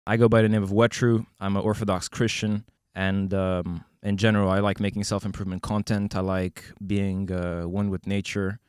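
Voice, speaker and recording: Young man hosting with a smooth, low voice. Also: no echo, studio quality. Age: young adult. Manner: relaxed, laughs often, pauses with fillers. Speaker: podcast host. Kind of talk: casual conversation.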